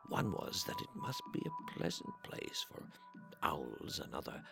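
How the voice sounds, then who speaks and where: A man reading a literary story aloud in a solemn, deliberate way, breaking phrases with long pauses. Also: a bass voice, wildly swooping pitch, gravelly, music in the background. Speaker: narrator reading a translated story.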